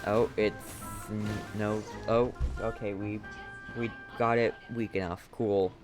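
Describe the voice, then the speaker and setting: A man's voice: fairly low pitch, level tone, takes it slowly. A man giving casual gameplay commentary, laid-back and musing aloud to himself. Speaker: game streamer. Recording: game music behind.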